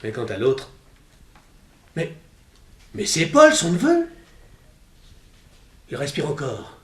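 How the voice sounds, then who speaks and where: A blunt sheriff in an old French radio play. The voice is forceful, bright and fairly high, declaiming with authority in an echoey room. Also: male voice, huge pitch swings, slow pace, heavily acted. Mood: shocked. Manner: emphatic, declamatory. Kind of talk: 1960s French radio drama acting.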